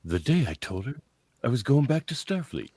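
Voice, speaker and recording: A man playing a brisk, commanding older starship captain in an amateur radio play, with theatrical emphasis. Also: mid-range voice, sing-song, dark tone, no room sound. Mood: reflective, offhand.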